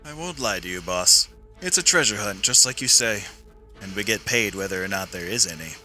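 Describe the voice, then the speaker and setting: A man's voice: on the low side, animated, a little fast, sharp tone, soft background music. An actor playing a confident, insubordinate noble lieutenant in his twenties in a sci-fi radio play, self-assured and formal. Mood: reassuring, cocky.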